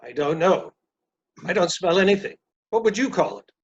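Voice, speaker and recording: Casting: performer for curt, deadpan grouch in stage-reading comedy, medium pitch, muffled lo-fi recording. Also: normal speed, lightly acted. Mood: blunt, matter-of-fact. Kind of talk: readers theater dialogue. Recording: dull, lo-fi sound.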